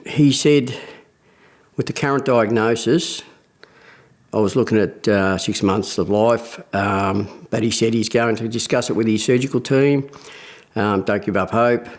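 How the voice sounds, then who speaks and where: Plain-spoken man in his fifties. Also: on the low side. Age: 50s. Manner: plain-spoken, halting with fillers. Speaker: patient sharing his story. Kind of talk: personal testimony.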